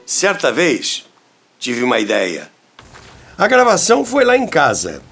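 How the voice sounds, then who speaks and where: A man's voice: on the high side, wildly swooping pitch, unhurried, vocal fry, a bit bright, breathy edge, faint music behind. A man hosting a history show, narrating from a script.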